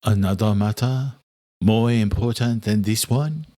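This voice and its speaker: A man's voice: lowish voice, unhurried, mellow, no echo, clean studio sound, natural voice. A man voicing an angry vampire duke who is blunt, formal and accusing, in an audiobook. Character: angry male vampire duke challenging his king.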